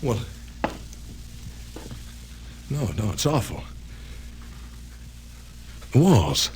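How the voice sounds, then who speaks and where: An actor playing a skeptical young man in a radio drama, husky-voiced, hesitant and easily shaken. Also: mid-range voice, big pitch swings, slow pace, a little mellow, a little hiss or hum, low-quality recording. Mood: frightened. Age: young adult. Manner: hesitant, incredulous, questioning. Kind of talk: classic radio drama acting.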